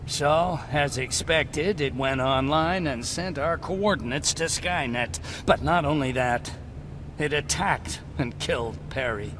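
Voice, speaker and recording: Earnest game scientist, noisy backdrop. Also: male voice, fairly high pitch, takes it slowly. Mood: sombre, regretful. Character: earnest male resistance scientist, fervent and resigned. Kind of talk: video game cutscene acting. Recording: clearly noisy game soundscape.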